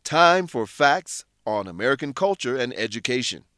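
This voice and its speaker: A man narrating a scripted English lesson, explaining clearly and deliberately as a teacher. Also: mid-range voice, very animated, bright, forceful tone, no echo, clean studio sound.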